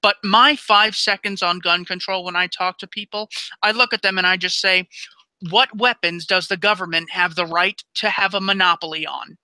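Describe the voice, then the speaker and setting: A man's voice: sits high, bright, forceful tone, smooth, no room sound, poor audio. A man hosting an interview, stumbling on restarts at times but speaking emphatically and with firm opinions. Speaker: interview host. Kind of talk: casual interview conversation.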